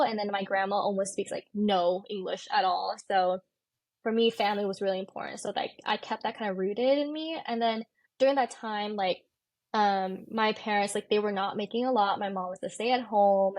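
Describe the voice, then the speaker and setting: A woman's voice: mid-range voice, a little mellow, dry recording. A woman in her twenties with an American accent, talking informally and candidly as a guest in a casual interview. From California, United States. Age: 20s. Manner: informal, candid, peppers speech with "like". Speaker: podcast guest, medical student.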